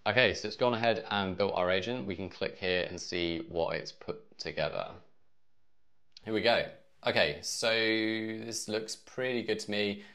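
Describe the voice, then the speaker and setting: A man in his thirties with a notably low voice. He walks through software step by step in a casual tutorial style, recorded in a small home office. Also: measured pace. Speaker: tutorial presenter.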